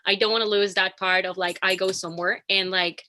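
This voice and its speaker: A woman's voice: medium pitch, little pitch movement, a little fast, bright, forceful tone. A young woman with a Latin American Spanish accent, speaking earnestly on a panel over a video call and using "like" a lot. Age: young adult. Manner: earnest, filler-heavy with "like". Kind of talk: panel discussion.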